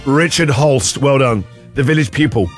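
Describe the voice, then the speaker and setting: A man's voice: mid-range voice, normal speed, soft background music. A man hosting a breakfast radio show, reading out listener puns and laughing often. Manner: playful punning, laughs often. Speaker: radio host. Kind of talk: jokey breakfast radio banter. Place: radio studio.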